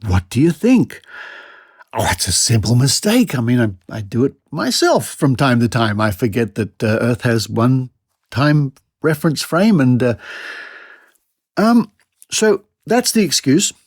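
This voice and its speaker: A man's voice: medium pitch, big pitch swings, measured pace, no echo. A male podcast host with an Australian accent, commenting with dry, mock-incredulous sarcasm.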